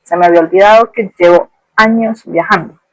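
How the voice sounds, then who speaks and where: A woman's voice: on the low side, fairly quick, no echo, rough recording. A woman with a Colombian Spanish accent telling a personal story as a podcast host, in a reflective, conversational and colloquial way.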